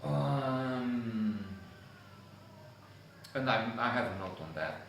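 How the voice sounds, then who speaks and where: A man, musing about poetry in an unhurried, hesitant way with many fillers, recorded on a phone on a tripod. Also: medium pitch, lively intonation, vocal fry, a bit bright. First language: Romanian. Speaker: vlogger discussing poetry. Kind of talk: casual musing monologue. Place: public spot with people and a dog nearby. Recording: very echoey, distant phone mic with noise.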